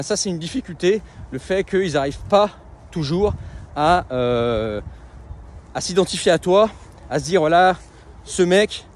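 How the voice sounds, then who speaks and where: A man speaks casually to the camera in a slightly higher voice, pausing often on fillers and restarting his sentences. He is outside, with faint street and traffic noise behind him. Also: darkish tone. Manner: conversational, many fillers and restarts. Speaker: web entrepreneur and coach. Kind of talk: casual vlog monologue.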